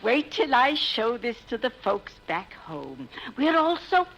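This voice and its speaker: A woman's voice: a little high, big pitch swings, unhurried, creaky, a bit bright, full character voice, low-quality recording. A woman playing a polite, genteel, slightly hesitant little old lady fan in 1950s radio comedy. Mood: sweet, polite. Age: older.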